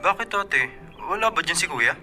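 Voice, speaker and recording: A woman's voice: fairly low pitch, lively intonation, normal speed, pushed and bright, faint music behind, pitched below the performer's own voice. An actress voicing a polite teenage boy, the younger brother, who speaks respectfully in a radio drama. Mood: puzzled.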